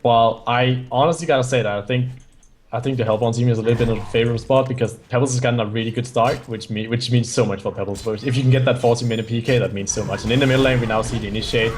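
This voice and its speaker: A man's voice: mid-range voice, steady tone, rapid-fire, a little mellow, slight background noise. A man, not a native English speaker, giving strategy analysis as color commentator, often restarting his phrases.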